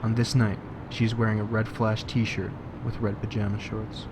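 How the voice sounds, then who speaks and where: A man's voice: on the low side, little pitch movement, normal speed. A man narrating a scripted story in the present tense, as the narrator of an audio drama. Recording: loud background noise.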